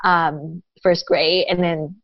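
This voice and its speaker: A thoughtful woman from Taiwan answers interview questions over a video call, unhurried and full of pauses. Her voice sits on the low side. The audio is dull and lo-fi with no room echo. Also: steady tone. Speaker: guest. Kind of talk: casual interview conversation.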